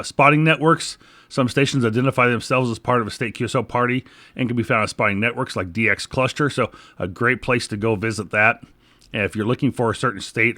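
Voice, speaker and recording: A man's voice: mid-range voice, bright, forceful tone, no room sound. A man hosting a solo podcast, talking in an informal, rambling, conversational way. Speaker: podcast host. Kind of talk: casual solo podcast talk.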